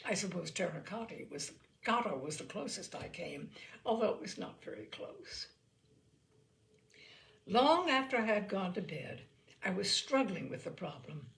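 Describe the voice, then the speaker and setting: Animated woman storyteller with a creaky edge. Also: mid-range voice, normal speed. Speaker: storyteller, narrator. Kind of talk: humorous short story reading.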